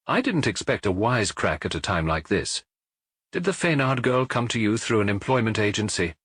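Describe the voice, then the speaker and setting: A man's voice: on the low side, lively intonation, moderate pace, fairly bright tone, husky, dry recording. A man playing a formal police inspector who questions witnesses politely but insistently, in an audiobook. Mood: probing.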